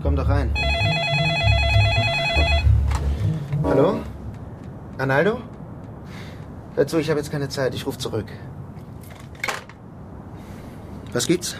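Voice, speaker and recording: A man playing a bitter New York criminal who narrates a crime radio play in a matter-of-fact, deliberate way. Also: mid-range voice, heavy background noise, natural voice. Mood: guarded. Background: music behind the voice.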